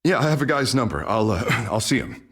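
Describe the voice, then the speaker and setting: A strained young mayor. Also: male voice, mid-range voice, expressive, brisk, a bit bright, slightly rough. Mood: pleading. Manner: shifts from friendly to agitated muttering. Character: troubled young male mayor, unraveling. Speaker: game character. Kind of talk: video game voice acting.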